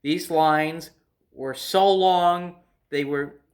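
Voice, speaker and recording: A man's voice: fairly high pitch, fairly even intonation, very slow. An American man telling a personal story casually, in a rambling way, circling back over details.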